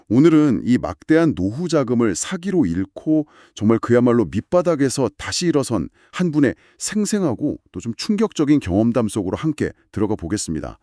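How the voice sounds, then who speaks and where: Guy cohosting a chat, measured explainer tone, dark mellow voice. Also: mid-range voice, dry recording, studio quality. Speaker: podcast-style co-host. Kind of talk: scripted two-host discussion.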